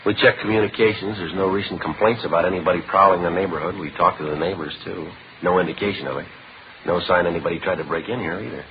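A man playing a terse, methodical police detective in a vintage radio crime drama, speaking in a matter-of-fact, deadpan way. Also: low voice, quick pace, slight background noise. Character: terse, methodical male police detective narrating his case. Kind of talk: 1950s radio crime drama, clipped scripted narration. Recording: dull old radio broadcast with music.

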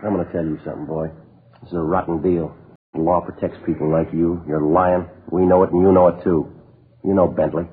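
An actor playing a steady, no-nonsense police detective in a radio crime drama, speaking in a dry, matter-of-fact way on a vintage recording. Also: male voice, deep voice, a little fast, phone-quality sound, slight background noise, natural voice. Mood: firm, pressing. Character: steady, no-nonsense police detective who narrates his cases. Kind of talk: 1950s radio crime drama acting and narration.

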